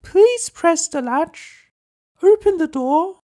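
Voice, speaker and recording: A man voicing a kindly old grandmother in a storybook, calling out in slow, drawn-out words. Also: extremely high pitch, lively intonation, mellow, pitched above the performer's own voice, full character voice. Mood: welcoming.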